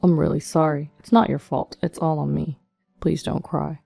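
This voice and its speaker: A woman's voice: sits low, steady tone, moderate pace, vocal fry, dark tone, no echo, faint music behind, pitched below the performer's own voice, full character voice. A woman voicing an evasive, self-excusing husband in a narrated story, speaking in a pleading way.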